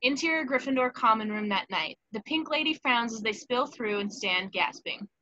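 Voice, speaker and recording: Bright-voiced woman reading stage directions. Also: on the high side, animated, normal speed, lo-fi sound. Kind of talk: scripted narration in a table read. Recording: faint background noise.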